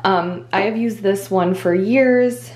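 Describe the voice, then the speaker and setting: A woman's voice: mid-range voice, slow pace, darkish tone. A young adult woman giving casual, upbeat voice-over commentary for a home cleaning video, with small filler words. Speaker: cleaning vlogger. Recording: echoey room with faint background music.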